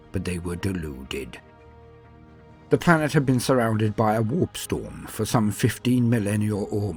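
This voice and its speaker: A man narrating scripted stories in a dramatic, solemn and measured way, with emphatic swells and falling sentence endings. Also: fairly low pitch, a little mellow. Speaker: narrator and host of a fan fiction lore channel. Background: music.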